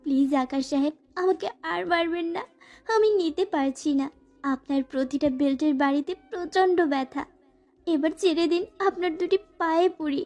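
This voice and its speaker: A woman's voice: sits high, normal speed, darkish tone, close to an everyday voice. A woman playing a frightened, pleading young student bride in a dramatized first-person romantic audio story. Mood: pained, pleading. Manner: plaintive, pleading.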